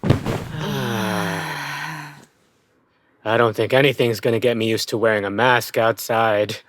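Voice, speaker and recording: A man in an audio play comedy voicing a nerdy, excitable Chinese American brother with a goofy, exclamatory manner. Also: mid-range voice, slow, dry recording. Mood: chatty, wry. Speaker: actor.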